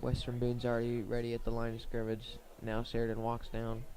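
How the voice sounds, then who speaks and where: A man with a medium-pitched voice commentates on high school football for radio. His intonation stays fairly even and narrow. He lays out each play step by step in a measured manner, leaving pauses as he goes.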